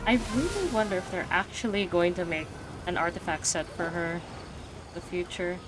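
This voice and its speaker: A woman's voice: fairly low pitch, normal speed. A woman giving casual commentary as she plays a video game, often thinking aloud absent-mindedly. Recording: faint game music behind. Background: video game music.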